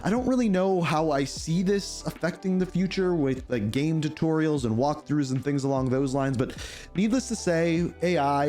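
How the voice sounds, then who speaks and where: Man, gaming news host, congested and hoarse, fairly high pitch, soft background music. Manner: chatty, opinionated, lively emphasis. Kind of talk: casual gaming news commentary.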